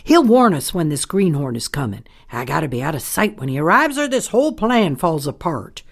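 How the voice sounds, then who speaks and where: Bossy, scheming frontier outlaw ma. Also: female voice, low voice, sing-song, fairly quick, heavily acted. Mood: scheming, smug. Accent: American. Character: scheming, bossy outlaw mother in the Old West. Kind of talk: comic Western audio drama.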